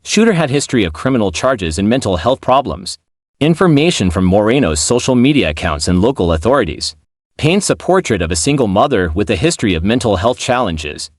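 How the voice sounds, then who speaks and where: A man's voice: on the low side, no room sound, clean studio sound. A man reading a scripted news report as a narrator.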